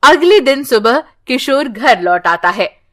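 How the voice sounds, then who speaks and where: A woman narrating a scripted moral story with slow, expressive, dramatic delivery. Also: high-pitched.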